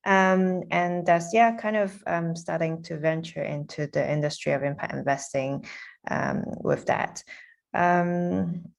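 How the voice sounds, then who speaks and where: A woman's voice: lowish voice, steady tone, measured pace, vocal fry. A woman in her thirties from Hong Kong, answering interview questions in a hesitant, casual way with many fillers. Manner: hesitant, many ums, laughs lightly. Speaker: guest speaker.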